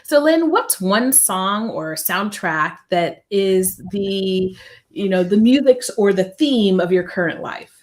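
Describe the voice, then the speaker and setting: A woman's voice: mid-range voice, animated, slow pace. Female host, upbeat and welcoming, conversational live interview delivery. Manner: upbeat, welcoming, brief acknowledgments. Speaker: podcast host.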